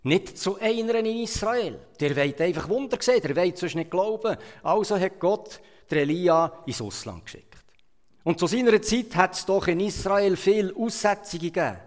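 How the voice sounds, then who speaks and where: A man from Switzerland with a Swiss German accent, preaching a sermon in a storytelling manner, swinging between loud emphasis and quiet asides. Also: sits high, lively intonation, normal speed. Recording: reverberant hall.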